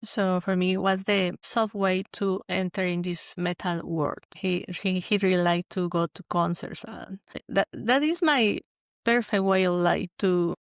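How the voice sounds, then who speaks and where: A woman from Mexico, a guest chatting casually and halting as she searches for words. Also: lowish voice, fairly even intonation, clean tone, no room sound, clean studio sound. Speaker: guest, metal journalist. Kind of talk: casual chat.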